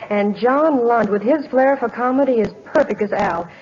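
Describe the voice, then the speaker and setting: A young woman chats as a guest in a scripted old radio promotion. Her voice is fairly high. The recording is dull and muffled. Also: moderate pace, darkish tone, slight background noise. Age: 20s. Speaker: actress guest endorsing soap.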